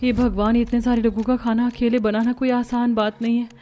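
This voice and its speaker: A woman voicing expressive young daughters-in-law in a Hindi cartoon story, theatrical in delivery. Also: on the high side, a little fast, natural voice. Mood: overwhelmed. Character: young working sisters-in-law, lively and put-upon housewives.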